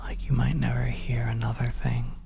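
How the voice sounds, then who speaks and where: A narrator of unclear sex performing scripted second-person horror ASMR in a soft, breathy whisper, hushed and deliberate, with long pauses. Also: medium pitch, level tone, music in the background. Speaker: audio roleplay narrator.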